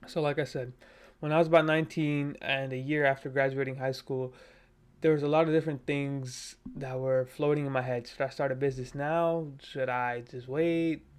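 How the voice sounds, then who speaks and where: A man's voice: mid-range voice, steady tone, moderate pace, smooth. A man in his twenties, from Nepal, talking earnestly and casually on a self-improvement vlog from his bedroom on a cheap desk mic. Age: 20s. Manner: earnest, self-motivational, loose with fillers. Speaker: vlogger. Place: bedroom at his parents' house. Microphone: cheap USB desk mic.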